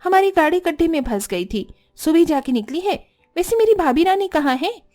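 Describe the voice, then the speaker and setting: A woman's voice: extremely high pitch, expressive, normal speed, a little mellow, voice pushed up. A woman voicing an arrogant, bossy young married sister-in-law in a cartoon family drama, haughty and demanding. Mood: boastful, demanding.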